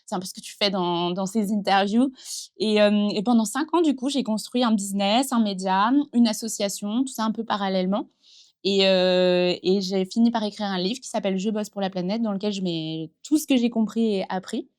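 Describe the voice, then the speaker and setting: A woman's voice: medium pitch, a little fast, darkish tone. A thirty-year-old woman from western France, speaking in a casual interview in an earnest, reflective way with frequent fillers, recorded in a small improvised studio. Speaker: entrepreneur guest. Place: improvised studio in a concert hall dressing room.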